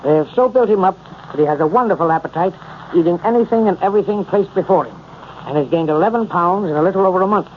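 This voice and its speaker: Casting: male actor for earnest father, high voice, vintage radio testimonial, thin telephone-like sound. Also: fast, lightly acted. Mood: earnest, grateful. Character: earnest father reading his grateful testimonial letter. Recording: thin phone-like 1930s radio recording, noisy.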